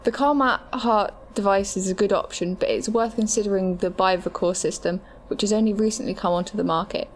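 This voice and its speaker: A woman's voice: mid-range voice, steady tone, a little fast, hardly any pauses, slight background noise, natural voice. A woman playing a matter-of-fact medical adviser, reading scripted explanations in an audio drama. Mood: informative.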